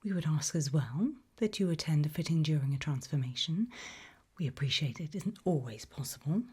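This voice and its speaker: A polite tailor to monsters, played by a woman in an ASMR roleplay. She speaks in a very breathy, hushed whisper, low in register. Her delivery is unhurried, courteous and reassuring, attentive to her client. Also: lively intonation, full character voice. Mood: soft, courteous. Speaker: ASMR performer.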